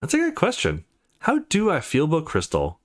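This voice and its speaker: A man's voice: a little high, huge pitch swings, normal speed. A man giving casual let's play commentary, thinking aloud as he reads and plays through a game.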